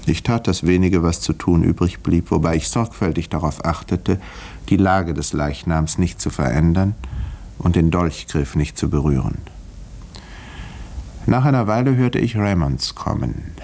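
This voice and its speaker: An actor playing a village doctor who narrates a murder case in a precise, dramatic 1950s German radio play manner. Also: male voice, low voice, unhurried, creaky, a little mellow, full character voice. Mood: measured, grave. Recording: vintage 1950s radio recording, roomy dialogue scenes.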